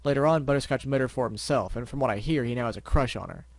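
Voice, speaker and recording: A man voicing a calm, serious unicorn investigator. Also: mid-range voice, creaky, dry recording. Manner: measured, firm, matter-of-fact.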